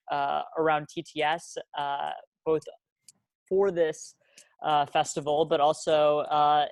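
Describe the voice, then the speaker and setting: A young man with a slightly higher voice hosts an interview as a student journal co-editor. He talks hesitantly and pauses often, and the sound is dry and close. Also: dry recording. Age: young adult. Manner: hesitant, frequent uh fillers. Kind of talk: hosting an interview.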